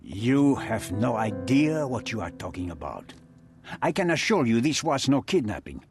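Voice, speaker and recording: A man's voice: medium pitch, sing-song, normal speed, darkish tone, breathy edge, soft background music. A man voicing a cold, threatening crime boss and smuggler in video game cutscene dialogue. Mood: defensive. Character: menacing male crime boss, smuggler and cutthroat.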